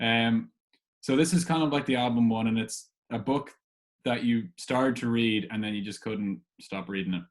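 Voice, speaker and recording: Man, medium pitch, podcast host, informal questions, hesitant phrasing. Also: normal speed.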